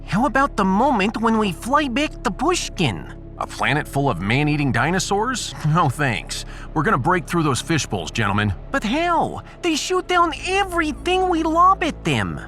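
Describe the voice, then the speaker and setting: A man acts a wry spaceship captain with little taste for danger. His fairly high voice leaps around, sarcastic and excitable, in a sci-fi audio drama with music behind. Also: moderate pace, hardly any pauses, exaggerated voice. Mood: alarmed. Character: wry, cowardly but bantering male starship captain.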